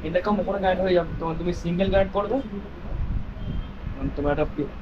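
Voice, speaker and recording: A man's voice: sits high, very fast. A man with a Bengali accent casually explaining, hands-on, how a device works. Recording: dull audio, clear background noise.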